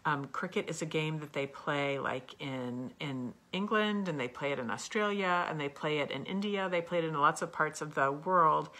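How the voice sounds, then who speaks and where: A woman's voice: deep voice, moderate pace, few pauses, bright, forceful tone. A woman hosting a children's storytime, explaining things expressively and putting questions to her young listeners. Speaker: storyteller, former teacher. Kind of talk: read-aloud storytime for children, with asides and questions.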